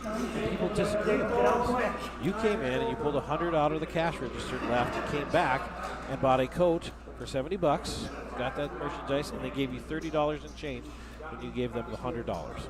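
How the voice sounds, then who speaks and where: A male poker commentator talks through hands in a loose, joking way. A loud crowd fills the room behind him at a live tournament. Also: fairly high pitch, fairly quick. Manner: chatty, joking, explains hands with asides. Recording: noisy crowd at a live event.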